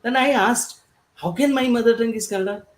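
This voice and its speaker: A man from India with an Indian accent, whose first language is Gorboli, speaking as a panelist in a halting way with many fillers and restarts. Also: extremely high pitch, reverberant. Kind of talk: panel discussion talk.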